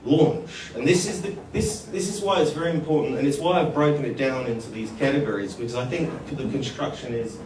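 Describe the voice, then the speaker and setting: A man giving a live conference talk, informal and halting, calling people mate. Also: mid-range voice, darkish tone, a little hiss or hum. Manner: informal, addresses people as mate, restarts phrases. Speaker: conference speaker. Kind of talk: live presentation to an audience. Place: conference hall. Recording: echoey hall, picked up from far off.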